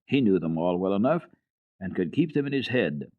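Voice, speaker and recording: A man giving an expressive dramatic reading of a children's book on the radio. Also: low-pitched, normal speed. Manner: expressive, lilting storytelling. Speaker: radio storyteller. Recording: tinny old radio recording.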